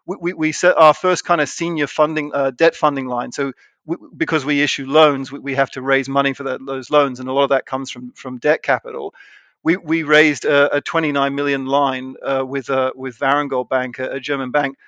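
A man in a dry-sounding room, answering interview questions as a company co-founder. He circles back on himself, repeating words and restarting his sentences as he thinks aloud, at a middling pitch. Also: little pitch movement, fairly quick, fairly bright tone, dry recording, muffled recording. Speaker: CEO and co-founder, guest.

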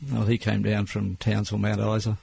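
A man in his sixties with an Australian accent, answering interview questions as a business owner in a plain-spoken, measured way. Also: lowish voice, monotone, vocal fry, a little mellow, breathy edge. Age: 60s.

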